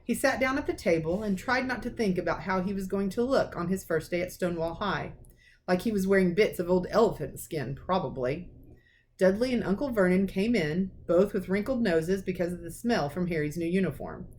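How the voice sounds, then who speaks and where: A woman reading a children's storybook aloud as a teacher, with expressive narration. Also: fairly low pitch, a little fast. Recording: clearly noisy recording with clicks.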